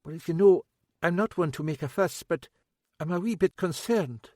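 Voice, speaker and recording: A man's voice: a little high, expressive, moderate pace, a little mellow, dry recording, studio quality, pitched above the performer's own voice, lightly acted. Man putting on a timid older Scottish woman. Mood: worried. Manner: nervous, fussy, apologetic.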